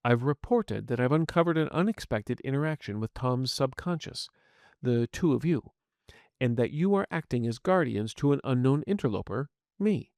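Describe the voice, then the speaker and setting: A man's voice: medium pitch, expressive, moderate pace, a little mellow, dry recording, studio quality, natural voice. A man voicing a polite, curious artificial intelligence medical agent in an audio story, speaking in formal, precise and courteous wording. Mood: calm, reassuring. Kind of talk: scripted audio fiction dialogue.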